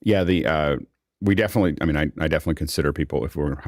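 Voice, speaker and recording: Really low creaky male voice, chatty host who rambles through stories, close dry sound. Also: racing, a little mellow, dry recording. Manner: rambling, anecdotal explanations. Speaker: host and moderator. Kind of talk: casual panel discussion.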